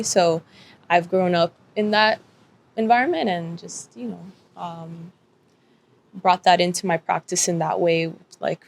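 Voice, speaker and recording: A woman artist on a panel, speaking thoughtfully with many pauses and fillers. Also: on the low side, creaky, slight background noise. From Dominican and Peruvian family. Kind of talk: panel discussion.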